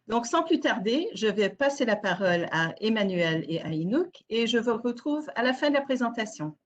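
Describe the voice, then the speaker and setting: A woman's voice: fairly high pitch, very animated, normal speed. A woman working as an embassy immigration officer, opening a webinar in an upbeat manner with frequent fillers. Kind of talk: webinar introduction.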